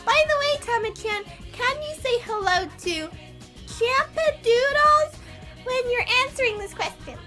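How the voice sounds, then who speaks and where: Woman doing a squeaky, childlike anime boy. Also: lively intonation, unhurried, music in the background. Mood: cutesy. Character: tiny, childlike, sweet but violent teenage boy. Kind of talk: fan parody dub of anime.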